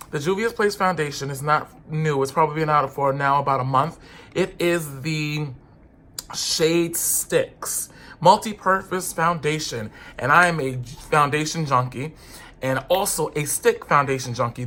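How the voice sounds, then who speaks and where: A man's voice: fairly high pitch, pushed and bright. A man with a stuffy, congested voice, chatting casually and sassily to camera as a beauty vlogger. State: congested with a cold. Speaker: beauty vlogger reviewing foundation.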